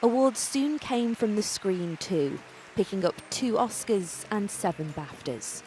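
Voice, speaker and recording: A woman's voice: mid-range voice, animated, measured pace, warm tone, a little hiss or hum, rough recording. A British woman news reporter voicing a scripted report.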